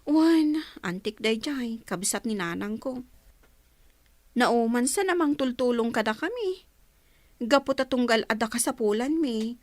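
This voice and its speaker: A woman's voice: a little high, expressive, measured pace, pauses often, dry recording. A woman acting a bashful, worried young daughter with an Ilocano accent in a radio comedy drama.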